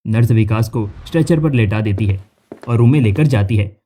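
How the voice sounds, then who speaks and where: A man's voice: lowish voice, a little fast, dark tone, a little hiss or hum. A man reading scripted narration for a cartoon story.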